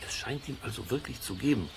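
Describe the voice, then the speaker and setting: A man's voice: mid-range voice, lively intonation, fast, vocal fry, noisy background. An actor playing a folksy, curious man who muses and chats to listeners in a children's audio drama. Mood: quietly excited. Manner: musing, chuckling, colloquial, talks to listeners. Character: folksy, curious amateur investigator, chatty middle-aged man. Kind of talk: German children's audio drama, chatty explaining.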